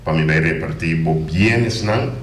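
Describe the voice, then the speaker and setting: Very deep-voiced man, emphatic on air. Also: normal speed, echoey room, a little hiss or hum. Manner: passionate, emphatic, repeats words. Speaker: island politician, guest. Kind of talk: radio interview, casual talk. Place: radio studio.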